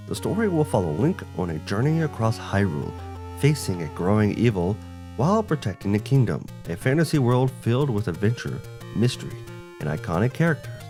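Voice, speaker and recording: A man co-hosting a video game show, reading a scripted presentation. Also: on the low side. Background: background music.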